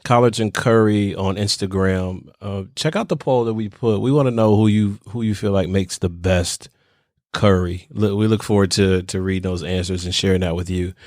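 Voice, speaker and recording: A man's voice: sits low, normal speed, a little mellow, no room sound, studio quality. A man from Michigan co-hosting a podcast, chatting casually and teasing, with frequent restarts. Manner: playful teasing, stumbles and restarts. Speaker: podcast co-host. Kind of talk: casual chat.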